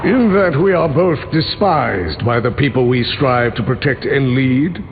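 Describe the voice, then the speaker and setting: An actor playing a proud, world-weary older mutant leader in a video game, formal, imperious and measured. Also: male voice, medium pitch, animated, phone-quality sound. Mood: gracious. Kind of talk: theatrical game character dialogue. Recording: game capture with loud ambient noise.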